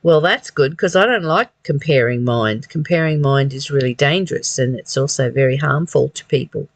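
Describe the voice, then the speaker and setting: A person reading a spiritual text aloud and commenting on it informally, in a reflective, halting way that often repeats phrases. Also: mid-range voice, dry recording. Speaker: reader and commentator of a spiritual study.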